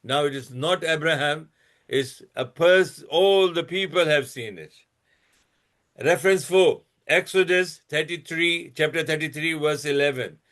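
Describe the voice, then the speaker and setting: Slow, deliberate man with a high voice. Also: fairly bright tone. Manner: slow, deliberate, with frequent restarts and fillers; blunt and scolding toward critics. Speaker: channel host presenting a religious comparison. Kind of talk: lecture-style presentation reading out references.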